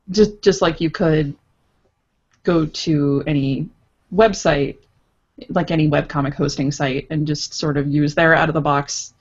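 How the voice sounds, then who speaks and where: A woman's voice: sits low, gravelly, breathy edge, lo-fi sound. A woman explaining her work casually as an interview guest, in hesitant, stop-and-start phrasing. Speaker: guest, visual novel creator.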